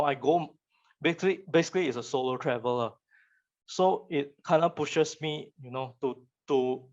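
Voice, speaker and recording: A man's voice: medium pitch, a little mellow. Young man, Malaysian English accent, Chinese first language, casual motivational talk, repeats words, muffled lo-fi sound. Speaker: speaker giving a talk.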